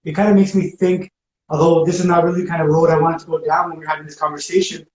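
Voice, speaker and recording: A man's voice: fairly high pitch, flat delivery, quick pace, darkish tone. A man talking casually and reflectively, in informal everyday language. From spent teenage years in Ecuador. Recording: roomy echo, faint background noise.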